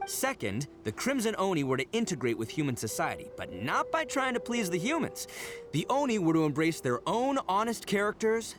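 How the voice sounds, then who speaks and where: Brief: a swaggering horned Oni tough guy, lively swinging delivery, faint music under. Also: a little high. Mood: storytelling. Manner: boastful, hearty laughs, earnest when serious. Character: brash young male Oni gang leader, proud and boisterous. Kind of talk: game cutscene voice acting.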